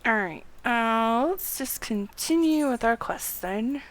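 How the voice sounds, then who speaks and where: A woman's voice: fairly high pitch, lively intonation, takes it slowly. A woman livestreaming a video game, chatting casually and murmuring half to herself as she plays. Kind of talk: casual game playthrough commentary, reading dialogue aloud. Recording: faint background noise.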